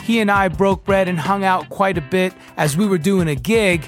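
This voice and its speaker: A man's voice: high voice, moderate pace. A man hosting a podcast, speaking in an enthusiastic, laid-back voice-over. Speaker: podcast host. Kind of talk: recorded voice-over outro. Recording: music bed behind.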